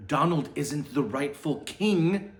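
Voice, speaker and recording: A man's voice: on the high side, animated, slow. A man voicing a shifty, accusing knight from a storybook with declamatory delivery. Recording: roomy, echoing sound.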